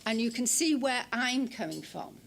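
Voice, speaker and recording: A woman's voice: on the high side, animated, normal speed, vocal fry, a little hiss or hum. An older woman from England giving an academic lecture in a lecture room, forthright and blunt, with frequent ums and restarts. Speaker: guest lecturer. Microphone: hand mic.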